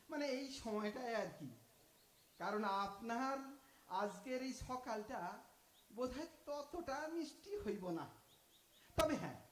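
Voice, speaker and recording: A man's voice: extremely high pitch, heavily acted. A man acting an aging, cheeky thief in an audio drama, with an East Bengali dialect and a folksy, mock-polite, talkative manner. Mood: wry, teasing. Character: aging male thief, cheeky, philosophizing, self-styled honest. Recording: echoey room, far from the mic.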